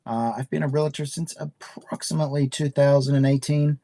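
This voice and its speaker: Hoarse upbeat man hosting a webinar. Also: on the high side, fairly even intonation, brisk, mellow. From Australia. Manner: upbeat, chatty, many fillers. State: hoarse from a cold. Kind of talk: live webinar presentation.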